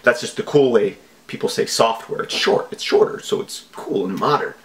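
A man chats to camera in a small home office. His delivery is lively in pitch and wryly comic, with a mock-earnest streak. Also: normal speed. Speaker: video host. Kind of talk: casual comic commentary.

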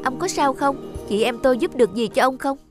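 A woman voicing a kind, helpful young sister in an animated fairy tale, eager and concerned. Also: sits high, fairly quick, pitched above the performer's own voice, close to an everyday voice. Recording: music under the voice.

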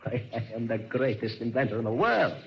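An actor playing a megalomaniac mad scientist in a vintage radio thriller, theatrical and taunting. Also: male voice, medium pitch, animated, slightly rough. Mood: coldly boastful. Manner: theatrical, gloating, deliberate taunting. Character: megalomaniac mad scientist inventor, gloating and menacing. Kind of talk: 1940s radio thriller acting. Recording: muffled, noisy vintage radio recording.

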